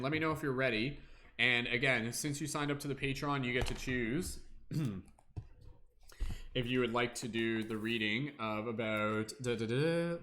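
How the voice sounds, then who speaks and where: A man's voice: mid-range voice, a bit bright. Rambling young man on a livestream. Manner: rambling, many fillers, hums to himself. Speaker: livestream tarot reader. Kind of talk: casual livestream chat.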